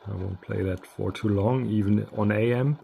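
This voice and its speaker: A man giving a casual product review in a hesitant, halting, unscripted way. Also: on the low side, gravelly, noisy background. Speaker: radio reviewer.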